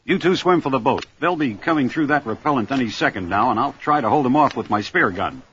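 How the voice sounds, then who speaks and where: A man playing a calm, protective grandfather and seasoned diver in an audio drama. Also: mid-range voice, fast talker, a little hiss or hum, lightly acted. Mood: urgent. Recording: dull lo-fi recording, faint music.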